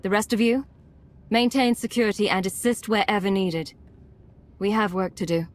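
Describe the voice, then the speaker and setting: Woman acting a resolute female agent commander, measured, authoritative, middle-pitched, video game cutscene. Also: natural voice.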